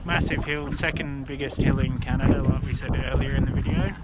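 A man's voice: mid-range voice, steady tone, fairly quick. A laid-back man, chatting casually to a handheld camera while snowboarding outdoors on a snowy slope. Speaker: vlogger. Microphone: action camera held at arm's length. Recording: loud wind noise on the mic.

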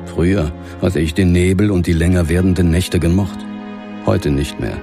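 Grieving middle-aged ghost hunter, low somber voice, horror audio drama with music under it. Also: male voice, fairly even intonation. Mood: brooding, grieving. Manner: somber, measured. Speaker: lead actor and first-person narrator. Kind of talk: dramatic audio-play narration.

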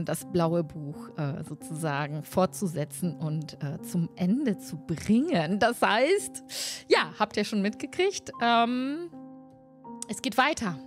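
A middle-aged woman chats casually as the host of a stream. Her voice sits at an average pitch, close to the mic, with faint music playing underneath. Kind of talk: casual interview chat. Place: indoor room, on a video call. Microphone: close desk microphone with headset. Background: background music.

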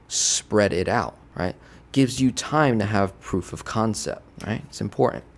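Casual young man teaching money math. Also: lowish voice. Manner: casual, conversational, keeps asking "right?". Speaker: finance educator. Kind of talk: whiteboard finance lesson. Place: home studio with lights. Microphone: boom-arm studio mic.

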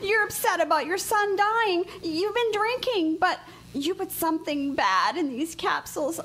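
A woman's voice: very high-pitched, lively intonation, measured pace, a bit bright, exaggerated voice, rough recording. A woman voicing a frightened, earnest young boy with a sore ear who pleads tearfully, in a community radio play. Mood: pleading.

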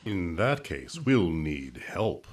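Very deep, slow, solemn undertaker. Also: male voice, big pitch swings, exaggerated voice. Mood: solemn, morbid. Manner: slow, solemn, formal diction. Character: gloomy, genteel undertaker friend, solemn and courtly. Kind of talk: old-time radio comedy acting.

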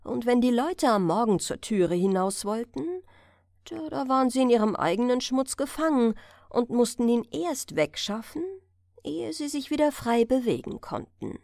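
A woman reads a fairy tale as an audiobook narrator. Her voice sits a little high and moves in lively melody, carefully phrased for bedtime. The sound is dry and close. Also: moderate pace, vocal fry, dry recording. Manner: expressive, carefully phrased storytelling.

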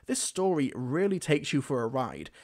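A man's voice: mid-range voice, very animated, normal speed, vocal fry. A man hosting a scripted video-essay review in an enthusiastic, emphatic manner. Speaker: reviewer, host.

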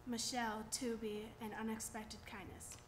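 A woman's voice: a little high, little pitch movement, moderate pace, background noise. A young woman reading a series of quotations at a church lectern, naming each author after. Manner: reads quotes then names the author. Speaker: reader. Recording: echoey church room.

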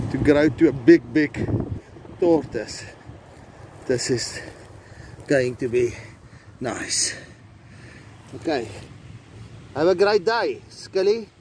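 A middle-aged South African man who grew up speaking Afrikaans, hosting a casual vlog on a coastal trail, talking slowly with many fillers and restarts into a handheld phone. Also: a little high, darkish tone. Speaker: vlogger host. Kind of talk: casual outdoor vlog narration. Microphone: phone camera held by hand. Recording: faint outdoor noise.

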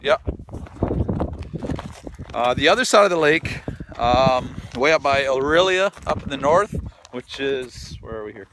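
A man's voice: mid-range voice, unhurried, long pauses, background noise. A man in his thirties from Canada, talking easily to a phone held at arm's length while he shows viewers around a frozen lake. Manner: chatty, easygoing, relaxed. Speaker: vlogger. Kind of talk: casual vlog tour.

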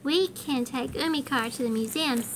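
A woman voicing a keen, excited little boy superhero in a children's storybook. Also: high-pitched, moderate pace, slight background noise, natural voice. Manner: excited, shouting out.

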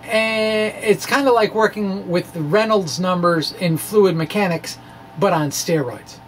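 A middle-aged man explaining physics informally to camera in a halting, rambling, quirky and jokey manner. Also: high-pitched, fairly bright tone, poor audio. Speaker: science blogger and presenter. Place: small indoor room with bookshelves. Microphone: microphone on a stand in front of him. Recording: clear background room noise.

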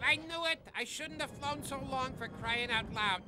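A man's voice: extremely high pitch, moderate pace. A man playing a grumpy, jokey old magical creature in a cartoon, talking in wisecracking slang over music. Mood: grumbling. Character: grumpy, jokey male magical shape-shifting creature, getting on in years. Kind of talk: animated comic sidekick acting. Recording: loud soundtrack music.

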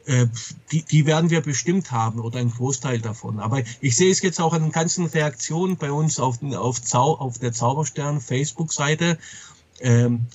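A middle-aged man speaks as an interview guest in a casual, candid way. His voice is a little husky and sits at an average pitch. He often hesitates and rephrases as he goes. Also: normal speed, darkish tone, dry recording. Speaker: comic publisher being interviewed. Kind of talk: casual interview talk about a trade.

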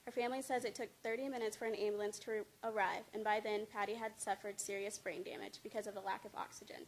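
A woman's voice: mid-range voice, fairly even intonation, fast talker. A young adult woman, a student speaker, reading a scripted informative speech from notes at a lectern microphone. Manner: reads from notes, stumbling over words. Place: indoor studio with green screen. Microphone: handheld-type mic on a stand at a lectern. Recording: clear background noise, room ambience.

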